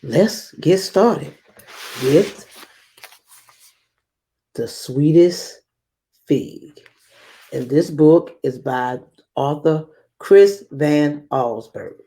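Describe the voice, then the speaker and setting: Slow, mellow woman hosting children's read-aloud. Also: sits low, animated. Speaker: guest reader and read-aloud host for children.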